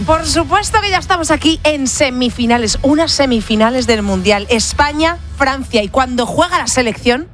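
A woman's voice: sits high, lively intonation, moderate pace, few pauses, fairly bright tone, faint music behind. A woman with a Spanish accent reading a scripted radio advert as a voice-over. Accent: Spanish (Spain).

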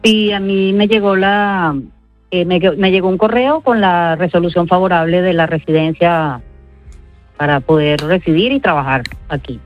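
A woman from Venezuela with a Venezuelan accent, speaking haltingly in a live news interview, full of fillers. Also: fairly low pitch, soft background music. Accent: Venezuelan Spanish. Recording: dry, slightly dull audio.

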